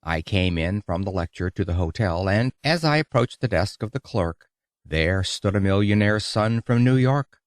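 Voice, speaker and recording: Animated male audiobook narrator, dry sound. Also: fairly low pitch, normal speed, dry recording. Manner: theatrical storytelling, emphatic. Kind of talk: expressive narration of an old-fashioned oratorical lecture.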